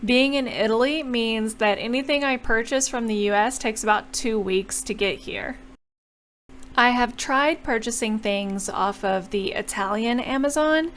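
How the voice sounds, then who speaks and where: A young woman chatting through a journal painting tutorial as a voice-over, explaining each step and apologizing now and then. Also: on the high side. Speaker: bullet journal creator.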